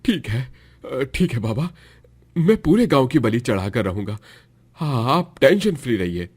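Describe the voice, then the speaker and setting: A man acting a gloating, husky villain. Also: medium pitch, sing-song, unhurried, a little mellow. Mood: anxious, obedient. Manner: gloating, scheming, cackling. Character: greedy cruel villain, a scheming man plotting mass poisoning. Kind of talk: Hindi cartoon moral story acting.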